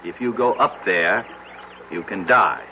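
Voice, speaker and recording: An actor playing a wry, folksy country doctor in an old-time radio drama, teasing and unhurried in his homespun talk. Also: low voice, animated, narrow, tinny audio. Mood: knowing, emphatic. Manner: teasing, drawn-out, homespun storytelling. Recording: noisy, band-limited vintage radio drama recording.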